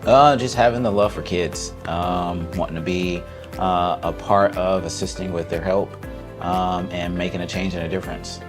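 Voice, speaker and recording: A man's voice: low-pitched, steady tone, moderate pace, over music. A young man from the Indianapolis area, an instructional assistant, answering casually with many fillers. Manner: casual, filler-heavy sports-fan talk.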